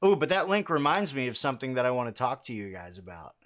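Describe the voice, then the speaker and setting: A young man with a low voice and plenty of bass in it, talking casually to his livestream chat. He is relaxed and conversational, restarting sentences and dropping "um" and "like" as he thinks aloud. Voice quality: fairly low voice with a lot of bass. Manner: casual and halting, many fillers and restarts. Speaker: livestream host answering chat questions.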